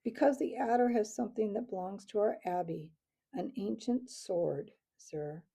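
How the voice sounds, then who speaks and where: Young male mouse hero, earnest and stubborn, a woman reading it; husky, slightly gravelly. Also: mid-range voice, normal speed. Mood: polite. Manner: earnest, defiant, shouting challenges.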